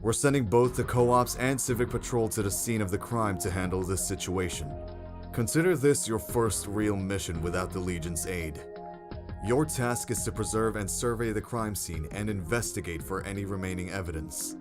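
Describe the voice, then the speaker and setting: Stern low-voiced man as commanding officer, music behind. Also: normal speed, close to an everyday voice. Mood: stern, instructive. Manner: formal, authoritative, blunt. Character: stern male commanding officer briefing a hero team. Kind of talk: scripted audio drama dialogue.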